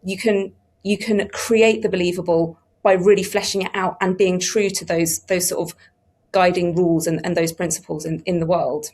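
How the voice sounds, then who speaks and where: A woman's voice: on the low side, fairly even intonation, normal speed, a little mellow. A woman explaining production work as a producer in an interview. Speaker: audio producer on a panel.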